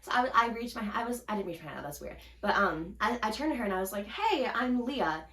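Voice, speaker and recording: A young woman co-hosting a casual podcast, telling a story in an animated way, acting out quotes and laughing often. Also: on the high side, quick pace, roomy sound. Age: young adult. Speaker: podcast co-host.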